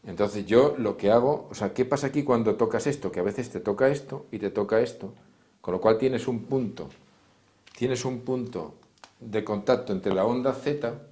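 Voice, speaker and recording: Low-voiced man teaching, echoey room. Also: warm tone. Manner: didactic, addressing listeners directly, with acted-out example dialogue. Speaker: therapist teaching a class. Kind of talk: informal explanatory lecture.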